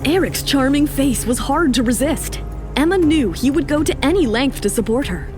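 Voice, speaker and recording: A woman's voice: high voice, animated, normal speed. A woman reading scripted, dramatic narration for a serialized romance audio story. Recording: music under the voice.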